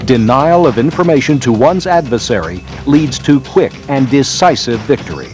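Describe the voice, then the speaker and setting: A man narrates a military training film in a formal, scripted documentary style, with measured, emphatic phrasing. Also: mid-range voice, lively intonation, a little mellow. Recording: 1990s training film soundtrack. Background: background music.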